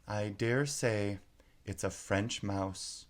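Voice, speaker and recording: A man's voice: lowish voice, steady tone, extremely slow. A man voicing a small, earnest, polite and fretful girl in a storybook reading. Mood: wondering, polite. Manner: earnest, wondering, apologetic.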